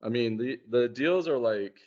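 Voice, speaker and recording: A man in casual conversation, talking in a hesitant, halting way and often repeating words. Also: mid-range voice, darkish tone. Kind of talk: casual chat. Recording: muffled, dull lo-fi sound.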